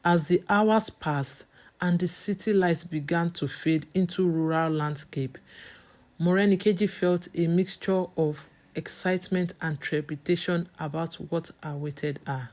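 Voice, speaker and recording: A woman's voice: on the low side, measured pace, darkish tone. A woman with a Nigerian accent reading a scripted story as its storyteller.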